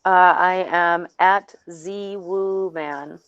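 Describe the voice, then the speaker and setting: A woman speaking casually and haltingly as a panelist, on slightly dull audio. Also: fairly low pitch, fairly even intonation, a bit bright, clean tone, dry recording. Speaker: panelist, serial writer. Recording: dull, band-limited audio.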